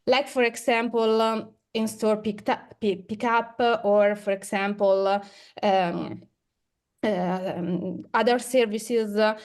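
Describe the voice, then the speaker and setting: Slow, hesitant woman on a video call. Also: medium pitch, steady tone, darkish tone. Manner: slow, hesitant, many fillers. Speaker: research director, guest. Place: office room with windows.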